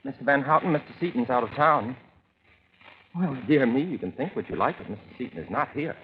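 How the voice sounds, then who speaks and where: A man's voice: mid-range voice, animated, a little fast, close to an everyday voice. Man acting a prim, fussy young assistant, tinny radio. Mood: polite, prim. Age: young adult. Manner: prim, fretful, over-precise. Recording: tinny, noisy 1940s radio recording.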